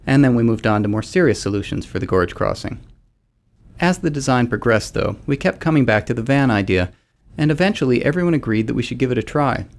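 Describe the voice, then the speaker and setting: A man's voice: mid-range voice, creaky. A man speaking as a game developer, giving narrated commentary.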